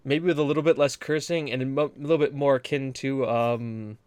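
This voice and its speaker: A man from New England rambling through a casual gaming podcast, given to long, jokey rants. His voice sits at a middle pitch. It is recorded close and dry. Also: fairly even intonation, a little fast, darkish tone, clear, resonant tone, no echo. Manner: rambling, rant-prone, joking. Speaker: podcast co-host. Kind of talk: casual chat.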